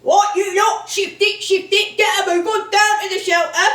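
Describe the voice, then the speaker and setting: An actress mimicking a gruff wartime warden from the North of England, barking orders in a raised, high-pitched shout. It is a bossy stage caricature, echoing from far off. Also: female voice, little pitch movement, normal speed. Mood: urgent, bossy. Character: gruff, bossy male air-raid warden. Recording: echoey theatre stage from far off.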